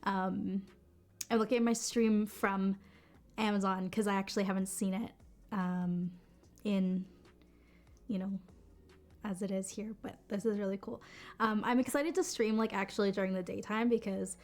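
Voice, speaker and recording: A chatty woman hosts a live stream from her basement studio at home and shows off keyboards in a loose, rambling way. She fills her talk with lots of "um"s and "like"s and restarts her sentences. Her voice sounds a little deeper than usual because she is sick. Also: fairly even intonation, normal speed, long pauses, darkish tone. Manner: chatty, many fillers and restarts. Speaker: livestream product host. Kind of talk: casual live-stream product demo.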